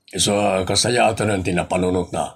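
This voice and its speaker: Audio comedy-drama: solemn male village captain, acted by a man, Ilocano accent, deep creaky voice, measured and explanatory. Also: fairly even intonation, exaggerated voice. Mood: grave, explaining. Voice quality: low, gravelly.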